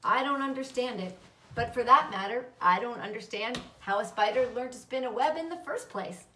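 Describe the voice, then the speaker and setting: A woman's voice: on the high side, normal speed, sharp tone, slight background noise. A woman reading a children's book, voicing a thoughtful, unworried old male doctor in a musing, reassuring manner. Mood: curious. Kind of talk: children's book read-aloud. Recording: roomy echo, distant microphone.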